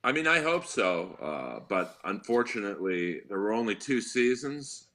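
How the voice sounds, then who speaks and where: A man with a deep voice, a comedian guest telling wry, rambling stories in a casual podcast chat. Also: big pitch swings, moderate pace, a bit bright.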